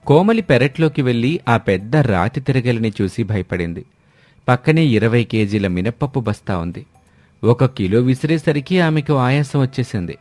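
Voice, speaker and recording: A man's voice: mid-range voice, animated, a little fast, a little mellow, dry recording. A man narrating a scripted moral story as its storyteller. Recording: music behind the voice. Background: music.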